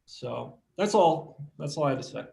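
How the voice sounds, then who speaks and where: A man with a medium-pitched voice comments casually on live charts as an analyst. His delivery is informal, with phrases that trail away at the ends. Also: lively intonation, moderate pace, vocal fry, darkish tone. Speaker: market analyst.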